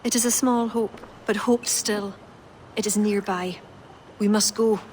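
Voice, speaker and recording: A woman's voice: mid-range voice, steady tone, measured pace, a little mellow, a little hiss or hum, close to an everyday voice. A woman voicing an earnest worried ally.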